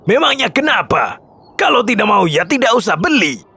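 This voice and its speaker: Greedy cartoon well owner, dismissive and scheming, raspy, forceful tone over prominent music. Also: male voice, on the high side, very animated, a little slow, full character voice. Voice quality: raspy, gravelly. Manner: brash, dismissive, exaggerated. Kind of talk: animated children's story acting.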